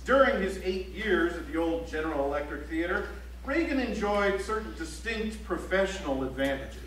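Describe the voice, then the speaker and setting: Man acting a high-pitched male chronicler, echoey. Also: lively intonation, normal speed, fairly bright tone. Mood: dry, declamatory. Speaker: stage actor. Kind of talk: theatrical scripted documentary narration. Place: library auditorium stage, recorded from the audience. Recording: echoey auditorium, distant mic, faint hum.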